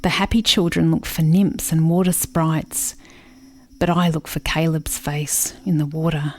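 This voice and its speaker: An actress in a radio play playing a grieving, watchful country sister, narrating in a reflective, measured way. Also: female voice, deep voice, little pitch movement, a little mellow. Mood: wistful.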